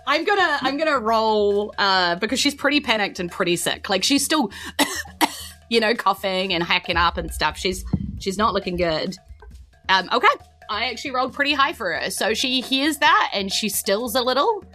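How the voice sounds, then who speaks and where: Bright-voiced New Zealand woman running a game. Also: fairly high pitch, animated, moderate pace, faint music behind. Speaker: game master. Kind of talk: improvised tabletop game narration.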